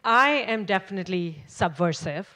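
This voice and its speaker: A woman in her forties from Pakistan, speaking on a forum stage and telling her life story in a measured, earnest way, with rhetorical questions. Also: lowish voice, pushed and bright. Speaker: guest speaker, filmmaker. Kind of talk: storytelling keynote talk.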